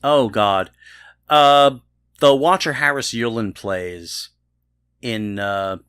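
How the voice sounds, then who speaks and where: A man co-hosting a podcast, chatting casually about a television show in a halting way, often repeating words as he starts. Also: mid-range voice, fairly bright tone, clear, resonant tone, no echo, studio quality. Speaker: podcast co-host.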